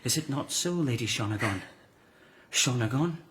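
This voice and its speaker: An actor in a radio drama playing a sly, unruffled retired emperor turned monk, suave and drily needling. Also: male voice, medium pitch, lively intonation, moderate pace, a bit bright, slight background noise, close to an everyday voice, low-quality recording. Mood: taunting.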